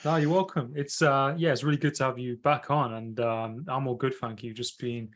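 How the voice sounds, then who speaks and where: A man hosting a podcast, talking casually in halting, rambling sentences full of "you know". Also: mid-range voice, fairly even intonation. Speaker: podcast host. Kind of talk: casual interview talk.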